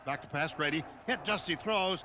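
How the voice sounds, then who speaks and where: A man with a somewhat high voice calls a live football game for radio. His delivery comes in short, clipped phrases that follow the action. Clear stadium noise sits behind him throughout the broadcast. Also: brisk. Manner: clipped running description, excitable on big plays. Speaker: radio play-by-play announcer.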